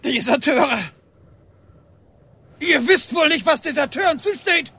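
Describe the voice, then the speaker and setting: A man's voice: very high voice, measured pace, pushed and bright, full character voice. An actor playing a rigid, duty-bound village policeman in a radio drama, stern and commanding.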